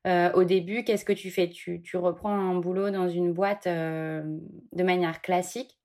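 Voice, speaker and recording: A woman hosting a podcast, asking a guest casual questions in a halting, filler-heavy way. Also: fairly low pitch, level tone, a little mellow. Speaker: podcast host.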